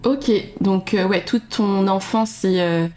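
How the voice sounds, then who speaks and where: A woman's voice: medium pitch, slow, a little mellow. A woman in her thirties hosting a podcast, reading a scripted intro and then interviewing her guest in a friendly, enthusiastic way.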